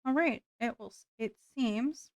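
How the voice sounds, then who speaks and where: A woman's voice: on the high side, unhurried, no room sound. Tired-voiced woman rambling with fillers. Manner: meandering, heavy on fillers like um and like. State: voice tiring from long talking. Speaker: miniature hobby vlogger. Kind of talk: rambling casual chat while building models.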